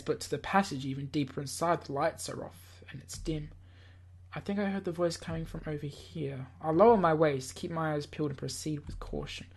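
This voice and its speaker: A man's voice: fairly high pitch, a little mellow, slightly rough. A man reading a visual novel aloud in a theatrical, slightly stumbling way, as host of a gaming video. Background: game music.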